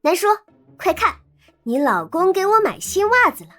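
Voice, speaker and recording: A woman playing a lively, teasing young college student who loves romance gossip, in a Chinese audio drama. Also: extremely high pitch, normal speed, faint music behind, full character voice. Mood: playful, teasing. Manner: teasing, animated, wheedling.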